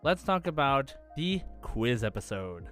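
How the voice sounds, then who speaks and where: A man's voice: mid-range voice, sing-song, unhurried, a bit bright. A man hosting a podcast quiz in a casual, chatty style. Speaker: podcast host and quizmaster. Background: faint background music.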